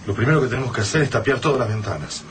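A man's voice: medium pitch, racing, lightly acted. An actor playing a tense, frightened man guarding his house, with an Argentine accent, in a radio drama. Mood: urgent, planning. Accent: Argentine, Rioplatense. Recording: radio drama with loud noise behind.

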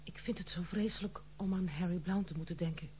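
An actress playing a dutiful, stern but kind granddaughter in an old Dutch radio play, speaking formally and gravely. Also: female voice, lowish voice, fairly quick, close to an everyday voice. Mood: remorseful. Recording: muffled phone-like old radio recording, faint hum.

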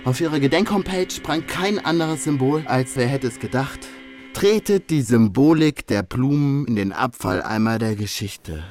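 A man's voice: mid-range voice, animated, moderate pace, darkish tone, faint music behind. A man delivering scripted reportage in a dry, ironic manner.

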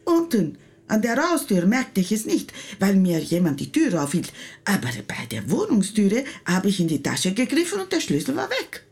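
An upset Italian restaurant wife in a radio play. Her Italian-accented voice swings widely from pleading to agitated, often crackling into fry. She is emotional and exclaims freely. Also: medium pitch, wildly swooping pitch, normal speed, darkish tone. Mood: anxious. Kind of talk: German radio play dialogue.

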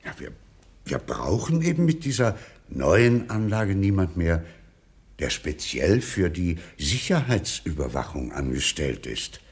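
Deep-voiced, formally polite company boss. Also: male voice, big pitch swings, heavily acted. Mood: awkwardly formal. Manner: formal, smoothly polite, measured. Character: businessman boss, formal company partner dismissing an employee. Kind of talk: German radio crime comedy acting.

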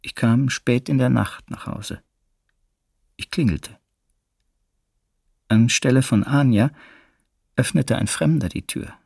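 An actor playing a sober wartime witness who recounts his arrest in measured first-person testimony, in a documentary radio play. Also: mid-range voice, gravelly, darkish tone, slightly rough, dry recording, clean studio sound, heavily acted. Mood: sober, tense.

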